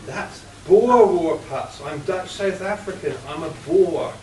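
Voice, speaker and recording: A man playing a scheming female criminal mastermind in an amateur radio play, cold and deliberate. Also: sits high, darkish tone. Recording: roomy, distant, faint hiss.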